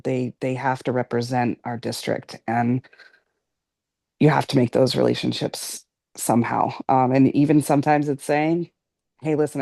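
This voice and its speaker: American female board member, low creaky voice, even intonation, earnest informal remarks to colleagues. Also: no echo, clean studio sound. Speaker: school board member speaking in a meeting.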